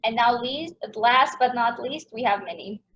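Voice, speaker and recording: A woman's voice: fairly high pitch, normal speed, fairly bright tone. A woman informally moderating a panel, putting questions to the speakers. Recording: dull, muffled lo-fi audio.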